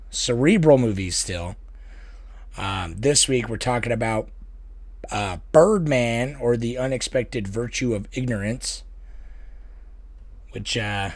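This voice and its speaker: A man with a raspy voice co-hosting a podcast in casual, laid-back chat, swearing and laughing easily. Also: medium pitch, takes it slowly, long pauses, no room sound. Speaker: podcast co-host.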